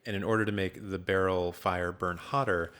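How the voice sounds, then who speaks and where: A man's voice: deep voice, steady tone, normal speed, fairly bright tone, clean tone. A man co-hosting a film podcast, recapping casually with a dry, ironic sense of humour.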